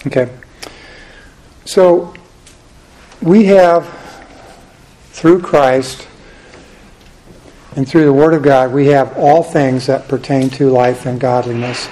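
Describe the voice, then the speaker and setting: A soft-spoken man teaching a church Bible class and leading a prayer, halting with frequent fillers. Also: medium pitch, fairly even intonation, low-quality recording.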